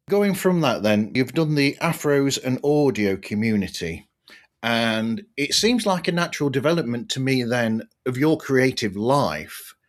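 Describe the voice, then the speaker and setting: Man hosting a podcast conversation. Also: medium pitch. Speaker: podcast host. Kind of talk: conversational interview.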